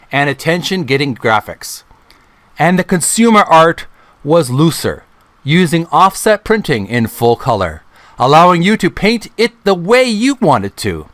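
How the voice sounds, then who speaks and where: A middle-aged man hosting a channel, reading a book aloud in a deliberate, halting way, phrase by phrase. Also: on the high side, a bit bright, no room sound. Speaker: video channel host.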